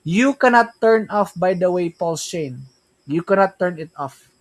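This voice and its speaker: A man's voice: high-pitched, animated, a little slow. A young man with a Filipino accent, a Tagalog speaker, hosting a casual crypto livestream, rambling with many restarts and tag questions. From Philippines. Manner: rambling, repeats "right?" and "yeah, man", frequent restarts. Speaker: crypto stream host.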